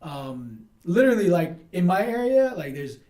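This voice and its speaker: High-pitched New man, casual podcast host. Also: big pitch swings, normal speed, darkish tone. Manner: slangy, peppered with "man", "dude" and "like". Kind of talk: casual conversational interview. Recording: roomy, echoing sound.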